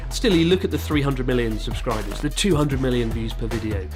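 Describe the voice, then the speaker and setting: A man's voice: medium pitch, animated, fairly quick. A man narrating a scripted video essay in a sardonic manner. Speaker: YouTube commentator. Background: background music.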